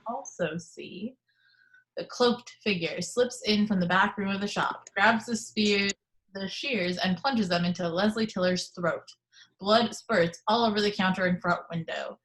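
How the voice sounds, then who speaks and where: Brief: female stage-direction reader, even, matter-of-fact, home video-call mic. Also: mid-range voice, unhurried, rough recording. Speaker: reader of the action description. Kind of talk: reading stage directions aloud. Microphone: video call microphone at home.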